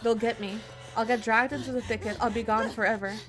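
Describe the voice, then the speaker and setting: A woman's voice: on the high side, steady tone, brisk. A woman game streamer reading a horror visual novel aloud, dramatically, with spontaneous asides. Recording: music behind the voice. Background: game music.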